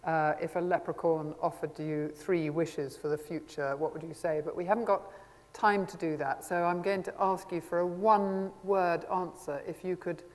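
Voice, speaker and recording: A woman chairing a panel discussion at a conference in a brisk, upbeat way. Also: fairly low pitch, steady tone. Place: large conference hall. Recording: echoey conference hall.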